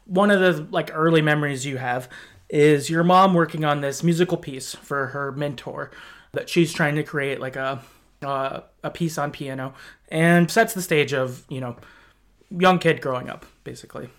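Higher-voiced man podcasting casually. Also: moderate pace, fairly bright tone. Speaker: podcast host. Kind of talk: casual chat recapping a game story.

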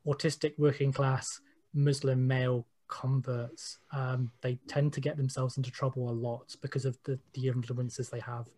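A man with a British accent and a medium-pitched voice. He speaks as a panel participant in an informal discussion, giving opinionated answers and leaning on repeated phrases. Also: flat delivery, moderate pace, mellow. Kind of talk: informal discussion, personal testimony.

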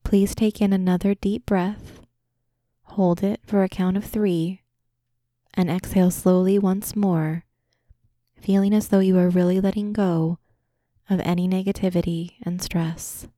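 A woman leads a guided imagery meditation as a podcast host. Her voice is dark and relaxed, with a very even, flat melody. She speaks gently and soothingly, taking her time, and the sound is dry, with no room around it. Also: on the low side, no room sound. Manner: gentle, calming, soothing, unhurried.

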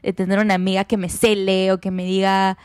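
A woman, co-hosting a podcast and telling stories in casual chat with quick asides. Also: mid-range voice, flat delivery, clean tone, no room sound, studio quality. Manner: chatty, storytelling with quick asides. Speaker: podcast co-host.

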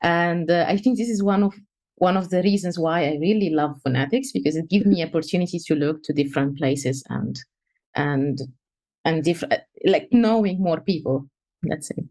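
A young woman from Egypt, a researcher answering interview questions in a halting way, with many fillers and restarts. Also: on the low side, darkish tone. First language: Egyptian Arabic. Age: young adult. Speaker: guest researcher.

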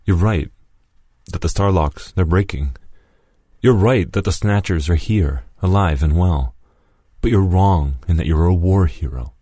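A man voicing Lewis, an angry, vengeful former comrade who shouts accusations and whose voice cracks. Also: sits low, a little slow, husky, dry recording, studio quality. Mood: cold accusing.

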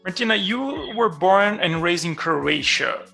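A man hosting an interview podcast, asking questions with halting restarts. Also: high voice, animated, creaky, sharp tone, faint music behind. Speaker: podcast host.

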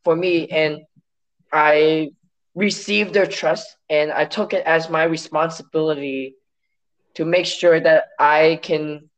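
A man from Hong Kong whose first language is Cantonese, speaking as an interview guest in a measured, reflective way with some restarts. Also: on the high side, fairly even intonation, rough recording. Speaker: guest, science story editor.

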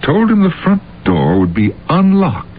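An actor playing a stubborn, haunted millionaire businessman in his seventies, halting and weary, in an old radio drama. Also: on the high side, huge pitch swings, a little hiss or hum. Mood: grimly confident. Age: 70s. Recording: muffled old radio broadcast, music underneath.

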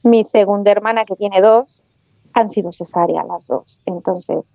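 A Mexican woman guest talking in a chatty, animated way, with colloquial slang. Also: mid-range voice, a little slow, vocal fry, dry recording, dull audio, soft background music. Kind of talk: casual podcast conversation.

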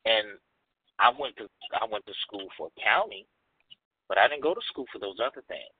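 A man's voice: on the low side, lively intonation, normal speed, breathy edge, dry recording. A man in his forties, a podcast guest talking candidly and emphatically, repeating phrases for stress. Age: 40s. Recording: dry tinny phone-like audio.

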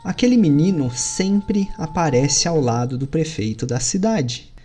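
A man with a Brazilian Portuguese accent, running a tabletop role-playing session as game master and telling the scene with lively storytelling. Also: unhurried, soft background music. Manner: animated storytelling with sudden lifts in pitch.

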